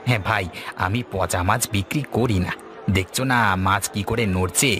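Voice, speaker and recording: A man's voice: lowish voice, normal speed, a bit bright, slight background noise, lightly acted. A man voicing a pushy but friendly market fish seller in a cartoon, with repetitive sales patter. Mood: friendly, persuasive. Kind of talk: cartoon dialogue, street hawker calls.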